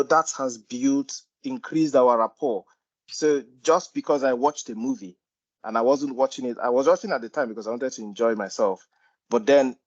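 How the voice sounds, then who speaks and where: A man's mid-pitched voice reaches the listener through dull, lo-fi call audio. He shares personal stories in a meeting and fills his sentences with "you know." The muffled sound stays throughout. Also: darkish tone. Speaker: panelist. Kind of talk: online meeting chat. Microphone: video call.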